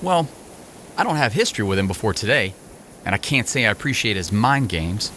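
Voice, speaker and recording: An actor playing a brash, needling but pragmatic newcomer in an audio drama. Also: male voice, on the low side, very animated, fairly quick, pushed and bright. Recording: faint background noise.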